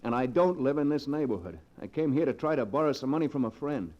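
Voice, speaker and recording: An actor playing a desperate, bitter American locksmith in a 1940s radio melodrama, with an urgent, halting delivery. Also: male voice, mid-range voice, lively intonation, natural voice. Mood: startled, resigned. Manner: urgent, halting when cornered. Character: desperate, bitter working-man locksmith husband. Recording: dull old radio recording.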